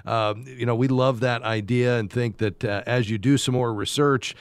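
A man's voice: medium pitch, fairly even intonation, moderate pace, clear, resonant tone, no echo. A man hosting a call-in advice show in a conversational style. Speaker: radio show host.

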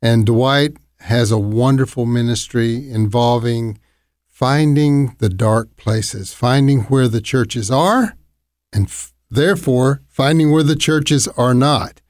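An earnest man tells how his ministry got started, often pausing and restarting his sentences. His voice sits at a middle pitch. The recording is dry and close. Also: a little mellow, no echo. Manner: earnest, halting, with fillers and restarts. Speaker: ministry leader, co-host. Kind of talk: sharing a ministry's origin story.

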